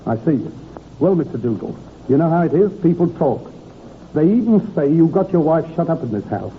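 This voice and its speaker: Polite British inspector, tinny radio. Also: male voice, a little high, sing-song, moderate pace. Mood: polite, probing. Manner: polite, matter-of-fact questioning. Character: courteous, probing male police chief inspector. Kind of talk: 1950s radio drama acting. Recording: tinny, noisy old radio recording.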